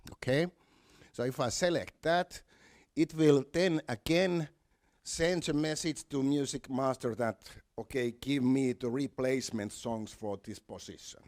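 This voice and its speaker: Slow-paced man demonstrating software step by step. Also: mid-range voice, no room sound, clean studio sound. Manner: step-by-step, halting, with repeated words. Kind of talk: live software walkthrough.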